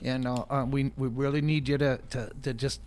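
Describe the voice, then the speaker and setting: A man's voice: mid-range voice, level tone, normal speed, a little mellow, close to an everyday voice. Man playing a stammering, pushy celebrity lawyer. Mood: pushy, insistent. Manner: stammering, repetitive, insistent. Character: pushy, flustered male celebrity lawyer hawking lawsuits. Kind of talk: improvised comedy radio skit.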